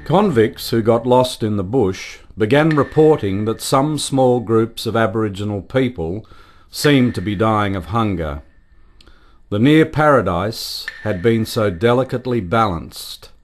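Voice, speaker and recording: A man's voice: lowish voice, animated, measured pace. A man narrating history from a script for a radio play. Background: guitar music.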